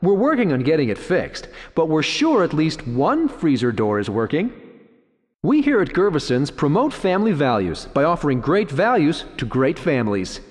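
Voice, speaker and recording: A chirpy, bumbling supermarket announcer from a video game. The salesy patter is fairly high and very animated, ringing out with long reverb. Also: male voice, moderate pace. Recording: reverberant store announcement echo.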